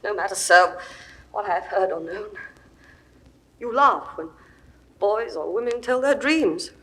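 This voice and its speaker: An actress playing a proud, grieving Egyptian queen in a classical verse recording, moving from hushed whispers to ringing outbursts. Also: medium pitch, lively intonation, unhurried, slightly rough, full character voice. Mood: grieving, dreamy.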